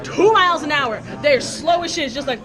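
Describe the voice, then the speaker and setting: Loud, profane young woman ranting. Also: sits high, lively intonation, fairly quick, a crowd in the background. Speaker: host. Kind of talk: casual chat, comic reacting.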